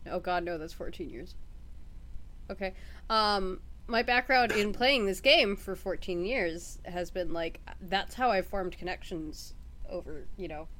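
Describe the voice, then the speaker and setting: A woman co-hosting a podcast over remote voice chat, chatty and halting, often restarting her sentences. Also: fairly low pitch, fairly bright tone, slight background noise. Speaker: podcast co-host. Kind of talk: casual chat.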